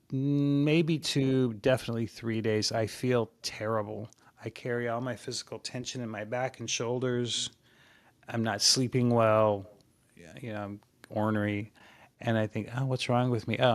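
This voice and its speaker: A man in his sixties, a podcast guest, talking in a relaxed, rambling way about his fitness habits, often restarting his sentences. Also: medium pitch, no echo. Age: 60s. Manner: rambling and reflective, with frequent restarts. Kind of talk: casual interview chat.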